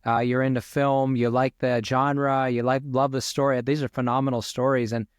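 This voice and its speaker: Earnest preaching host in a headset. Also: male voice, medium pitch, level tone, moderate pace, clean tone, no echo, clean studio sound. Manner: earnest, preaching tone in closing address to listeners. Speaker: podcast host and minister. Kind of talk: podcast interview ending in a prayer. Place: home office with bookshelf. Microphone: over-ear headset.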